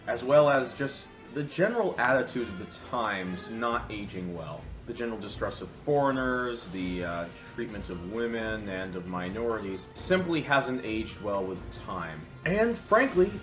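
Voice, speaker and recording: A man's voice: lowish voice, expressive, a little slow, few pauses. A man hosting a comedic scripted film review, speaking in a sarcastic, mock-dramatic way. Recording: roomy echo with music underneath.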